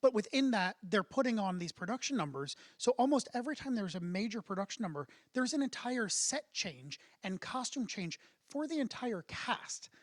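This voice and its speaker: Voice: mid-range voice, sing-song, normal speed, hardly any pauses, no room sound, studio quality. A co-host chatting through a theater interview, explaining at length with laughs along the way. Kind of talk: casual interview chat.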